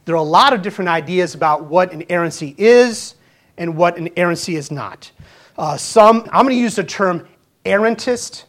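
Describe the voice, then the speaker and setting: A man of fifty, a pastor, preaching a sermon in an earnest, conversational way, full of rhetorical questions and small restarts. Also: sits high. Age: 50s.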